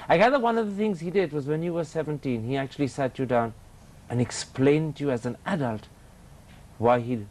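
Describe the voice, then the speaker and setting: A man's voice: fairly high pitch, fairly quick, a little mellow. A male interviewer asking direct, probing questions on a TV interview show.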